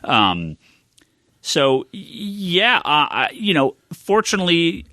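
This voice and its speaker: An American man with a bright, forward voice talks through things as a podcast host. His pitch swings in a lively way, and he repeats phrases while thinking aloud. The sound is dry and close. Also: slow, no echo. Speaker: podcast host, comic shop owner. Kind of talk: casual podcast discussion.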